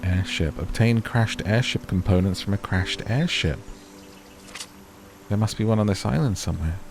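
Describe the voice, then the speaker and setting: A man's voice: fairly low pitch, moderate pace, creaky, background noise. A man doing casual gaming commentary, chatting and musing to himself and to viewers as he plays and reads out on-screen text. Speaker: gaming video host.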